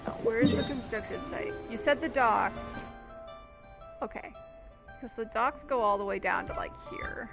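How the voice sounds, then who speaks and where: High-voiced sarcastic woman streaming a game. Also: normal speed, vocal fry, long pauses. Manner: sarcastic, teasing, casual. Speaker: gaming streamer. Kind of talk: casual sarcastic let's play commentary. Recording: game music behind the voice. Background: video game music.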